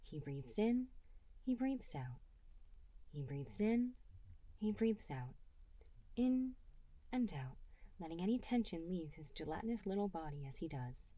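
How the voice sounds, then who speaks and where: A woman reading a fan fiction story aloud as an audiobook narrator, in an expressive storytelling manner. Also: fairly low pitch, a little slow, pauses often, no room sound. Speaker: reader and writer of the story.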